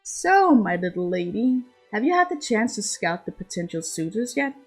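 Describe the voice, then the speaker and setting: A woman's voice: medium pitch, sing-song, normal speed, slightly rough, faint music behind. A woman voicing a charming, worldly noble lady with formal, assured speech in a storybook reading. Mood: teasing, assured.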